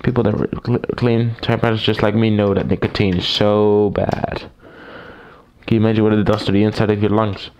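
A man with a raspy voice, recovering from a cold, casually reviewing a typewriter at his desk, rambling with fillers and restarts. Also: on the low side, flat delivery, brisk, a little mellow. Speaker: typewriter collector reviewing a machine. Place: small room at a desk, indoors. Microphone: camera mic, speaker off-camera.

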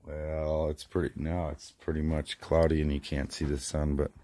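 A hoarse man, about forty, rambling in a casual vlog, filmed on a handheld phone inside a small greenhouse. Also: a bass voice, flat delivery, normal speed, mellow. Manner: rambling, many restarts and fillers. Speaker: vlogger. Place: small plastic greenhouse, indoors. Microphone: phone camera held close.

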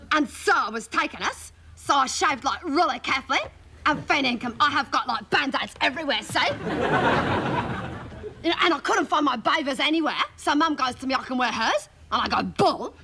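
A woman comedian playing a gossipy, indignant, cheeky Australian schoolgirl, telling rambling slangy stories in a stand-up monologue. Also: sits high. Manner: breathless rambling slangy storytelling. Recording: live stage, loud audience laughter and noise. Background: loud laughing crowd.

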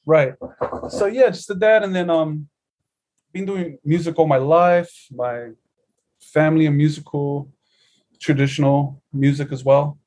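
A man's voice: on the high side, measured pace, pauses often, a little mellow. Laid-back New man telling his story. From New Zealand. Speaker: musician guest. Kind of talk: casual interview chat. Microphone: video call.

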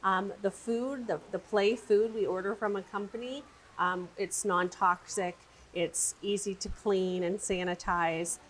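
A woman's voice: mid-range voice, fairly even intonation, unhurried, low-quality recording. A woman, the director of a children's museum, explaining its play areas in an upbeat interview with frequent fillers. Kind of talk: casual interview. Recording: audible background noise.

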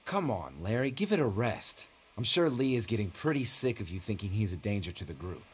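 An actor playing an easygoing, conciliatory ex-military survivor in a zombie video game. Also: male voice, on the low side, big pitch swings, a little fast, slight background noise. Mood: exasperated. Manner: conciliatory, reasonable. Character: easygoing, weakened male ex-military survivor, reasonable peacemaker.

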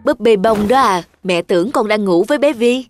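A woman voicing a caring mother in a children's cartoon, explaining things gently. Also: mid-range voice, expressive, normal speed, close to an everyday voice. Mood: tender. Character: caring mother of a young girl, sometimes hurried. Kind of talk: Vietnamese children's cartoon voice acting. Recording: music bed behind the voice.

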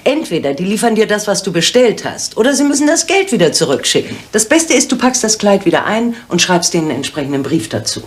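Practical middle-aged woman with animated intonation acted. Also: mid-range voice, fast, hardly any pauses, natural voice. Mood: frank, critical. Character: practical, sympathetic woman friend, frankly critical. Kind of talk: 1980s TV re-enactment acting. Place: indoor rooms.

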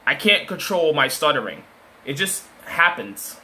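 A man giving casual gameplay commentary, chatty with frequent fillers and an occasional stutter, whooping loudly at big plays. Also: a little high, very animated, measured pace. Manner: chatty, self-described stutter, many fillers. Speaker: gaming video creator.

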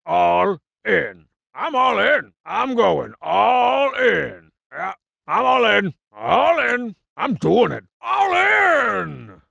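An actor playing a cocky, boastful, juvenile cartoon villain for a comedy video game, with a raspy growl and mocking, slangy tough-guy delivery. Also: high voice, wildly swooping pitch, very slow, bright, forceful tone, no room sound, dull audio, exaggerated voice. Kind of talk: exaggerated comic video game character acting.

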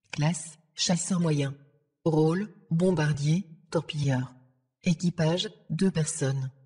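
A synthetic female voice of an AI assistant, giving formal, measured, deadpan scripted information. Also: sits low, breathy edge. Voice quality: synthetic text-to-speech voice. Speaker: AI ship assistant.

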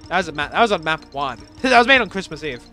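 A young man with a bright, fairly high voice rambles through commentary on levels he built. He stumbles, restarts and sells his own work short. Faint game music plays under his voice. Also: sing-song, fast. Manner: rambling, self-deprecating, many fillers and restarts. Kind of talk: casual gameplay commentary.

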